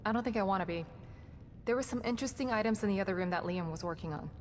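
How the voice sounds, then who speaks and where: A woman playing a composed, professional staff psychologist in a horror game, measured and matter-of-fact. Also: darkish tone, natural voice. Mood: calm, measured. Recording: reverberant hall with rumbling noise.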